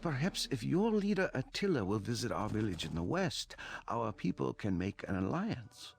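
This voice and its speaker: A man's voice: medium pitch, big pitch swings, normal speed, gravelly. An actor playing a proud barbarian tribesman in a game, declaiming his lines dramatically. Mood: proud, appealing. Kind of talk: dramatic scripted game dialogue.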